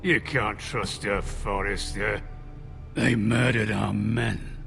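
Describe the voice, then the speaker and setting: An actor playing a resentful, grudging rival lord in a fantasy game, with a gravelly voice. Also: male voice, fairly low pitch, fairly even intonation, slow pace, fairly bright tone, soft background music, exaggerated voice. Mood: accusing.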